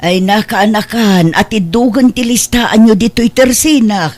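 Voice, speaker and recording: A woman's voice: fairly high pitch, a little slow, a little mellow, lightly acted. An Ilocano-accented woman playing a frank older aunt who keeps a village store, in an audio drama. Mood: chiding. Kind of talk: Ilocano radio drama dialogue.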